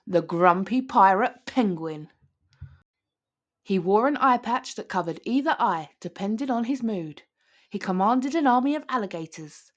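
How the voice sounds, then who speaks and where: Animated woman reading a children's story. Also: mid-range voice. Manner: theatrical, with stretched words for emphasis. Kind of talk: dramatic read-aloud of a picture book.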